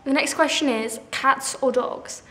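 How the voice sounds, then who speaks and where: A woman's voice: sits high, measured pace, a bit bright, echoey room. A seventeen-year-old girl chatting casually through a question-and-answer video, bubbly and full of "like", laughing at herself. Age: 17, teens. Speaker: vlogger. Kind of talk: casual Q&A chat to camera.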